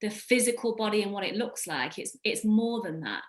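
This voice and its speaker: A woman's voice: mid-range voice, lively intonation, moderate pace. A woman hosting a podcast, speaking earnestly and reflectively in casual conversation. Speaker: podcast host.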